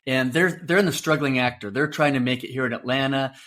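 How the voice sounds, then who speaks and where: A man's voice: mid-range voice, fast talker, fairly bright tone. A middle-aged man co-hosting a podcast, chatting casually and agreeing often. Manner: agreeable, frequent "yeah" backchannels. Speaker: podcast co-host. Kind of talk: casual chat.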